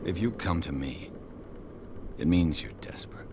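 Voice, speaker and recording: Very deep-voiced, jaded detective. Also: male voice, lively intonation, normal speed, background noise. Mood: curt, cynical. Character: jaded male private detective, curt and world-weary. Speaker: voice actor in a video game. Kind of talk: dramatic video game dialogue.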